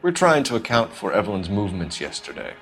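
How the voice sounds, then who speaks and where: A man's voice: lowish voice, wildly swooping pitch, quick pace, fairly bright tone, soft background music, exaggerated voice. An actor playing an earnest police homicide detective in a 1940s crime game, formal and pressing in his questioning. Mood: businesslike.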